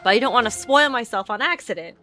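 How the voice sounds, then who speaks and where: A woman's voice: fairly high pitch, animated, fast, bright, forceful tone. A woman game streamer chatting casually on a livestream in a rambling, giggly manner. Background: video game music.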